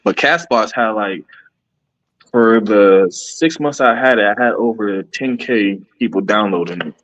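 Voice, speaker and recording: A man's voice: lowish voice, unhurried, a bit bright, lo-fi sound. A man, a podcaster and musician, talking earnestly in a casual interview with frequent restarts. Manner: earnest, rambling, many restarts.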